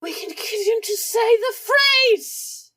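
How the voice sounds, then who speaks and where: A woman with a very high, lively voice. She talks casually as a tabletop game player, improvising in and out of character. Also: slow. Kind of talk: casual improvised role-play.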